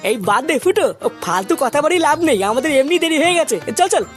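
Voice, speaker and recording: Bossy cartoon big-brother leader acted out, high-pitched, brisk, practical, music underneath. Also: sing-song. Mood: placating. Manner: bossy, giving orders. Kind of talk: comedy cartoon voice acting. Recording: music behind the voice. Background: background music.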